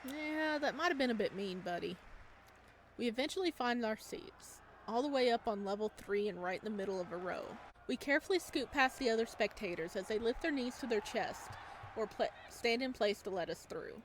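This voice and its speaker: A woman's voice: fairly high pitch, animated, a little fast, slight background noise. Woman reading a visual novel aloud. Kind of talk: reading story text aloud with asides.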